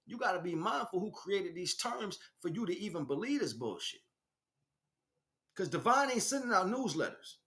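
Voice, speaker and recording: A man's voice: high-pitched, big pitch swings, normal speed, pushed and bright. An American man delivering an informal spiritual monologue as a teacher, speaking emphatically in streetwise slang and addressing listeners directly.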